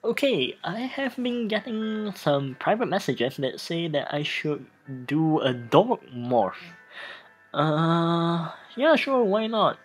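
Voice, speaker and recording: Halting let's play host over loud music. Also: mid-range voice, expressive, breathy edge. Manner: halting, stumbles over read text and restarts. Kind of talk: casual game playthrough with dramatic reading. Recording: music playing behind voice. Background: background music.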